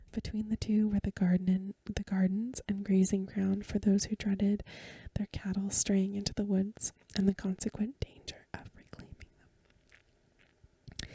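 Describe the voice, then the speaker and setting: A woman reading a story aloud in a soft, breathy, mostly whispered ASMR style, gentle and unhurried, close to the microphone. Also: medium pitch, no echo. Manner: gentle, unhurried, literary narration.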